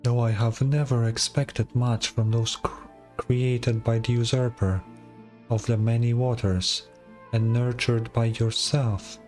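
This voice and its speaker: A man's voice: mid-range voice, a little mellow, natural voice. A man voicing an ancient, proud dragon lord from a fantasy game, stern and scornful in a grand, formal manner. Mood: grave reproach. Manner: grandiose, formal, deliberate delivery. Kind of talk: dramatic fantasy game dialogue.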